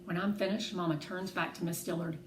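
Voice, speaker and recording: A woman reading a children's novel aloud in an expressive, animated way, acting out the dialogue. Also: lowish voice, fairly quick. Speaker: read-aloud narrator. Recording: roomy echo, faint background noise.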